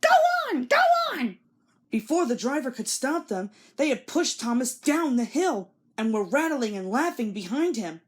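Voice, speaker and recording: A woman narrates a children's storybook in a fairly high voice. Her pitch moves in a very lively way as she reads the scripted story aloud. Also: moderate pace, few pauses.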